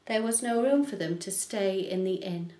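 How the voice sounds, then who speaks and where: A middle-aged woman minister reading scripture aloud for a church service in a measured, clearly enunciated way, recorded in a small living room. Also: mid-range voice, mellow. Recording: roomy, echoing home recording.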